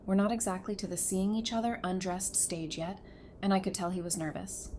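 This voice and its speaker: A woman acts out a caring young survivor who teases gently. Her voice is medium in pitch and natural, as in an audio drama, with faint ambient noise behind it. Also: brisk, natural voice. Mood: gentle, tired. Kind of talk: fan audio drama acting.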